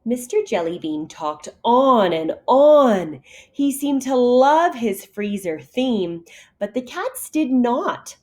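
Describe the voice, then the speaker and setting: Lady reading a kids story like a kindergarten teacher, slow and really animated. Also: fairly high pitch. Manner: animated, deliberate storytelling for young children. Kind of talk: expressive children's storybook read-aloud.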